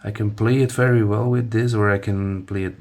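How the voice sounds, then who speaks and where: Casual male reviewer, Dutch first language, lowish voice, halting, full of restarts. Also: fairly even intonation, a little mellow. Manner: rambling, many restarts and fillers, demonstrating as he talks. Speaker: phone reviewer. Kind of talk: casual hands-on product review.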